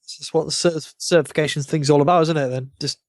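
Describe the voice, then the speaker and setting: A man's voice: on the high side, fairly even intonation, fast talker, no echo, studio quality. A man chatting casually as a podcast co-host. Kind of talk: casual chat.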